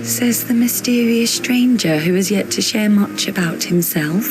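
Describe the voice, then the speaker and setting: An actress playing a guarded, wry young teacher with quiet melancholy, in a measured, naturalistic video game cutscene style. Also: female voice, medium pitch, lightly acted, low-quality recording. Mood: wry, teasing. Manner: dry, teasing, measured. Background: music.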